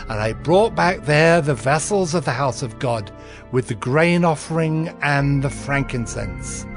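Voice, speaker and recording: An actor playing a stern, zealous governor of ancient Judah, narrating dramatized scripture in an emphatic, declamatory way. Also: male voice, fairly high pitch.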